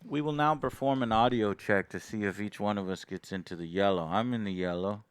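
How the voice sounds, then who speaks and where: A man's voice: lowish voice, a little fast, clear, resonant tone, dry recording. A man hosting a board game, casually explaining the rules and partly reading them aloud. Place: indoor game table on a livestream.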